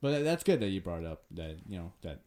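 A man's voice: low-pitched, sing-song, fast talker, mellow. A young man hosting a podcast, asking questions in a hesitant, stumbling way with many fillers. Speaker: podcast host. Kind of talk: casual podcast chat.

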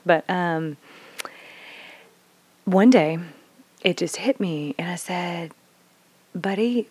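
A woman in her thirties candidly telling parenting stories, swearing freely and acting out dialogue, dropping to whispers and then bursting out loud. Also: low voice. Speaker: mother sharing parenting stories. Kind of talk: candid casual storytelling.

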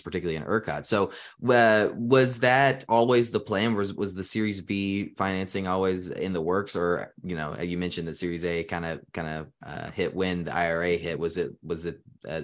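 Very deep-voiced man hosting a podcast. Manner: rambling questions with restarts. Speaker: podcast host. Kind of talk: podcast interview.

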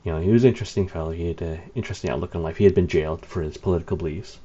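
Deep-voiced man telling rambling stories. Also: very fast, dark tone, breathy edge. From Canada. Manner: rambling, many fillers, wry laughs. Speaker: storyteller. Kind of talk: casual anecdote telling. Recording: dull lo-fi recording.

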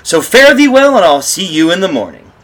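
A man playing a tormented husband in an old-style radio horror drama, theatrical and husky. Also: high voice, big pitch swings. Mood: cheerful. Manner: theatrical, brooding, halting monologue. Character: tormented lost husband, haunted, telling his doom.

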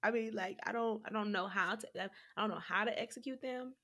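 Rambling woman with a bright voice. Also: mid-range voice, brisk. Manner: rambling, full of "like" and restarts. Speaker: podcast co-host. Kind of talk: casual chat.

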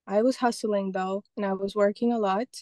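Mellow-voiced woman from Estonia, chatty guest. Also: mid-range voice, steady tone, brisk, no room sound. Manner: rambling, many restarts and fillers. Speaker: guest, painter and photographer. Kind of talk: casual interview talk.

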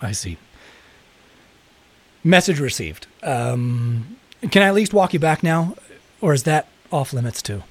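Audio drama role: needy, teasing young guy, lively pitch swings, slightly husky. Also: a little slow, long pauses, exaggerated voice. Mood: hurt. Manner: teasing, pleading. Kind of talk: naturalistic audio drama acting.

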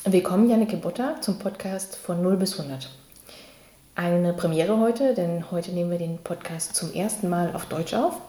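A woman hosting a conversational podcast interview, speaking in a halting, searching way. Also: lowish voice, dark tone. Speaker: podcast host. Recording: echoey room with faint background noise.

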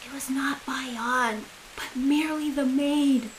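High-voiced, grave, dutiful aide. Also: female voice, fairly even intonation, a little hiss or hum, low-quality recording. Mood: earnest. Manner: measured, formal, deferential. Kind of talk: audio drama acting.

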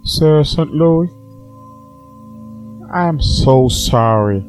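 A man's voice: fairly high pitch, animated, very slow, darkish tone, soft background music. A man playing a grave, sorrowful family doctor in an audio drama, formal and apologetic. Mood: somber, apologetic.

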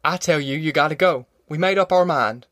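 A man's voice: a little high, a little fast, a bit bright, no room sound, clean studio sound, lightly acted. A man voicing a bewildered, blustering middle-aged farmer father with a rural Southern American accent, in an audiobook. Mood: indignant. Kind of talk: audiobook character acting.

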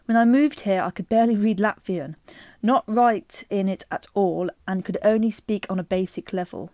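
A woman co-hosting a chatty podcast, lively and quick to laugh. Her voice is mid-pitched and sits close and dry, with no room sound behind it. She moves easily between banter and reading a listener's letter aloud. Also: no echo. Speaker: podcast co-host. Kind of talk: casual chat and reading a listener email.

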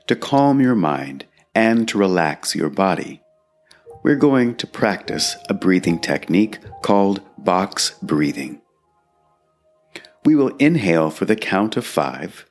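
Man, low-pitched voice, guided meditation, calm and measured, long pauses, music behind. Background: background music.

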